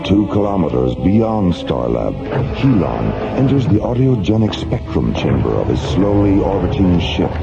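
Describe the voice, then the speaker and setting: A man narrating a science fiction radio drama in a measured, ominous documentary style. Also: low-pitched, gravelly, heavily acted. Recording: dull lo-fi radio drama tape.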